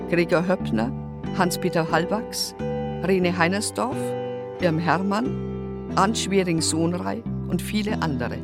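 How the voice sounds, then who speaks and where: A woman announcer reading scripted credits in a measured way. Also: lowish voice. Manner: slow, measured. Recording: loud guitar music behind.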